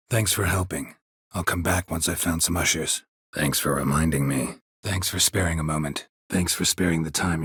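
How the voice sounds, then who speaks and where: An American man voicing a stoic, world-weary, middle-aged monster hunter in a video game, with a gravelly, husky voice and a deadpan, clipped delivery. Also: sits low, no room sound, studio quality, exaggerated voice. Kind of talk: video game character dialogue lines.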